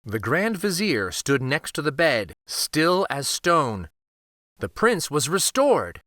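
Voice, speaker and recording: Bright, highly animated male storyteller. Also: no room sound, clean studio sound. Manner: expressive, deliberate, theatrical. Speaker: children's storyteller. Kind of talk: dramatic picture-book read-aloud.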